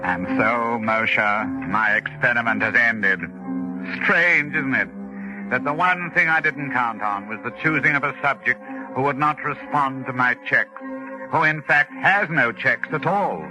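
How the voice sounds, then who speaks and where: A man's voice: mid-range voice, expressive, unhurried, lightly acted, rough recording. Guy doing old radio drama doctor, earnest n brooding, formal theatrical voice, music under it. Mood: grave, resigned. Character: educated doctor, a brooding experimenter facing his own killer. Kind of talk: 1940s radio suspense acting.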